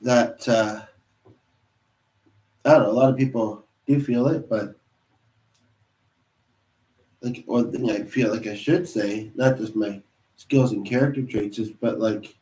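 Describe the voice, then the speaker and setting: A man who is sick and congested, chatting alone on a livestream in a halting way, full of pauses and restarts. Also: on the low side, mellow, recorded from a distance. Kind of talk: rambling solo livestream chat. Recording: roomy sound from off-mic.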